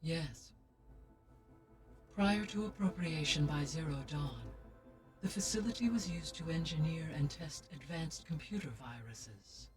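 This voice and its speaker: An actor voicing a calm, formal artificial intelligence in a video game, speaking in a precise, measured way. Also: male voice, fairly high pitch, distant mic, music in the background.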